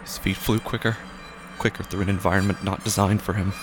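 A man narrating scripted horror fiction dramatically and gravely, with deliberate pauses and breathy drops toward a whisper. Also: sits low, fairly even intonation, music in the background.

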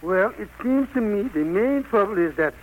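A man's voice: high-pitched, expressive, normal speed. A man playing a humble, hospitable country preacher with a Southern US accent, in 1940s radio drama style. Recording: noisy vintage 1940s radio broadcast.

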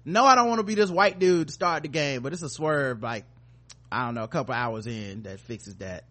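A man's voice: mid-range voice, lively intonation, fairly quick, a bit bright. A man hosting a podcast in casual, joking banter with informal, profane language. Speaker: podcast host.

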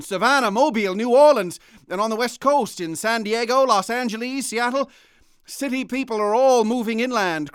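An actor playing an urgent radio news anchor, formal and grave, in an old-time radio drama bulletin style. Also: male voice, high-pitched, fairly bright tone, clear, resonant tone, no room sound, clean studio sound. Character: urgent male radio news anchor reporting worldwide invasion.

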